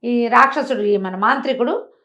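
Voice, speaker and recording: A woman's voice: a little high, normal speed, a bit bright. A woman telling a story in a conversational way, posing rhetorical questions as she narrates. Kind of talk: animated oral storytelling in a talk show.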